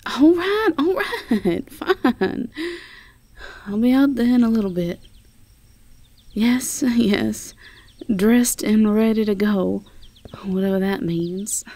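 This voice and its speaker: A woman's voice: mid-range voice, lively intonation, slow pace, pauses often, dark tone, dry recording. A woman playing a sweet, flustered Southern belle girlfriend in an intimate roleplay audio drama, with a Southern US accent and an affectionate, stammering delivery. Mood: fond, bemused.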